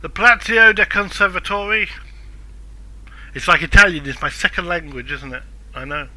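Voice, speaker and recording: A man's voice: high-pitched, moderate pace, pushed and bright, poor audio. A man casually commenting on a game walkthrough, chatty and self-deprecating. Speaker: gameplay walkthrough narrator.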